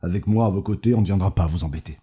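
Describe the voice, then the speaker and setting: A man's voice: low-pitched, rapid-fire, warm tone, natural voice. A man playing a smug, condescending, corrupt mayor in an audio drama, patronising and coolly menacing. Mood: smug, persuasive. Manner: patronising, cajoling. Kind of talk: French audio fiction acting.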